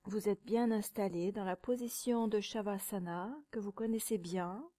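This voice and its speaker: A woman teaching yoga nidra, guiding a meditation in a calm, measured, soothing way, with a soft, sometimes breathy voice and pauses between short phrases. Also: medium pitch. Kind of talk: guided meditation.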